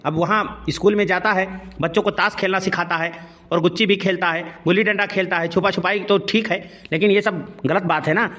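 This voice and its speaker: A man's voice: high voice, fairly even intonation, fairly quick, pushed and bright. A man voicing a stern but easily cowed village schoolteacher in a comic cartoon, complaining and admonishing. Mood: placating.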